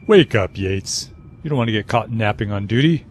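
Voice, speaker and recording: A man's voice: fairly low pitch, expressive, normal speed, a little hiss or hum, close to an everyday voice. An actor playing a teasing, watchful armed spaceship crewman in an audio drama.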